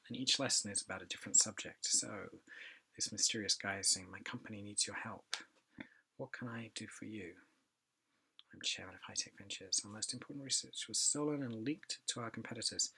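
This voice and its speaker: A man talks through a software demo in a relaxed, offhand way, pausing often to think aloud. His voice sits a little low, with a bright, forward edge and a slightly husky grain. It sounds like he is recording at his computer. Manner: relaxed, thinking aloud with many ums and asides. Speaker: product demonstrator. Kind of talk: casual software demo walkthrough.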